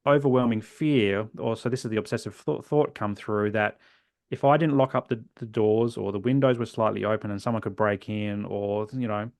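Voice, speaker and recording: An Australian man in his forties, a guest in a podcast interview, telling his own story earnestly and reflectively, often trailing off softly at the ends of phrases. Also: lowish voice, fairly even intonation, normal speed, vocal fry, no echo, studio quality. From South Australia. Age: 40s.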